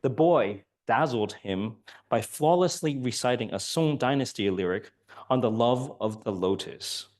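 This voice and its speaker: A hoarse man giving an academic lecture, speaking softly with many ums and uhs. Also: medium pitch, animated, measured pace. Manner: soft-spoken, many fillers. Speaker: guest lecturer.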